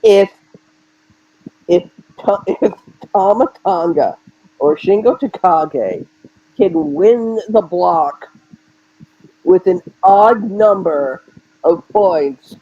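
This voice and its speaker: A man co-hosting a casual wrestling podcast, loose and profane, joking with lots of halting restarts. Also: sits high, expressive, dark tone, husky, no room sound, highs cut off. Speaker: podcast co-host.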